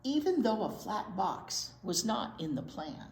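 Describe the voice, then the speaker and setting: A woman's voice: medium pitch, big pitch swings, unhurried, creaky, darkish tone. A woman reading a picture book aloud to young children as a storytime teacher, expressively drawing out key words and asking little questions. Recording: roomy, echoey indoor sound.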